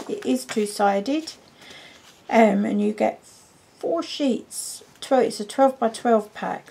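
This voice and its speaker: Craft lady w a cold, croaky gravelly voice, talks slow, chatty with lots of ums. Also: medium pitch, dark tone. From United Kingdom. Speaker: craft demonstrator. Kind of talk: card-making tutorial.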